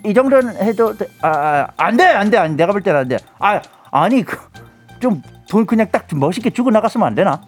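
A man on a radio show who recounts a news story in a playful, chatty way. His voice sits high and swoops up and down a lot. Soft music plays faintly behind him. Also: very animated, fairly quick. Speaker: guest commentator. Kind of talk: animated radio chat.

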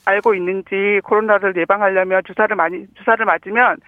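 A woman's voice: mid-range voice, fairly even intonation, fairly quick, no echo. Female phone-in caller. Friendly, chatty demonstrator, heard close and dry through a muffled telephone. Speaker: phone-in caller teaching dialect. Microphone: phone call. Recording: dull, narrow telephone line.

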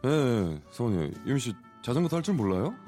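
A man's voice: medium pitch, sing-song, fairly quick, mellow, soft background music, close to an everyday voice. A man playing an earnest, forward suitor of thirty-one in a radio story reenactment. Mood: breezy. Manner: casual, pushy, earnest. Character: forward, earnest 31-year-old man courting a woman.

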